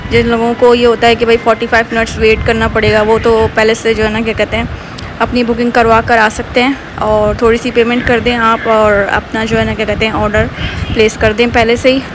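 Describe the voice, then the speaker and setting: A woman in her thirties reviewing a rooftop restaurant at night, chatty and enthusiastic, with frequent pauses, filmed on a phone at arm's length. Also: a little high, little pitch movement. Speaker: food vlogger. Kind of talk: casual restaurant review vlog. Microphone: phone held at arm's length. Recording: loud traffic noise around. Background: traffic noise.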